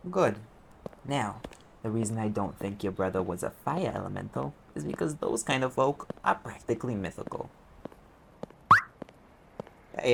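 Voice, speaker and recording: Man acting a gravelly, sarcastic private eye. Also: medium pitch, a little hiss or hum, low-quality recording. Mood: exasperated. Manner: sarcastic, exasperated, conversational explaining. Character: sarcastic male private eye mage, impatient and wisecracking. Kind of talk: audio roleplay acting, one side of a dialogue.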